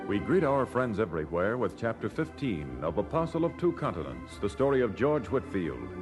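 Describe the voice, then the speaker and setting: A man announces a radio series from a script. His fairly low voice moves through a wide range of pitch over loud music. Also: big pitch swings, moderate pace.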